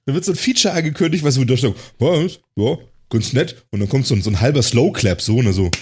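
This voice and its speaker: A man's voice: mid-range voice, lively intonation, quick pace, slightly rough. A man co-hosting a casual podcast chat, talking enthusiastically and acting out cheering audiences. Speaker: podcast co-host.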